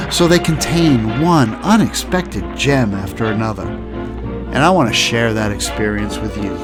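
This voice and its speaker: A man hosting a podcast with scripted narration, delivered in emphatic, announcer-like phrases. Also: mid-range voice. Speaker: podcast host. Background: music.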